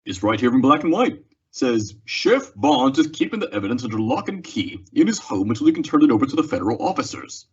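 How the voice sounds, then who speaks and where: An actor playing an excitable male townsman reading the news aloud in an old-time radio western. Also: medium pitch, fast, slightly rough. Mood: eager, folksy. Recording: dull lo-fi audio.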